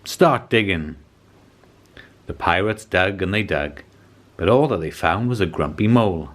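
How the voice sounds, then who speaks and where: Male storybook narrator: deep voice, highly varied intonation, expressive and deliberate. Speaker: storybook reader. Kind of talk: scripted children's story narration.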